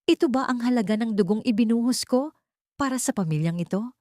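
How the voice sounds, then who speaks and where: A woman's voice: a little high, expressive, a little fast, warm tone, dry recording, pitched above the performer's own voice, natural voice. Woman playing young mother, wronged, heartbroken, anguished, pleading, dramatic audio story, dry recording. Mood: bitter anguish.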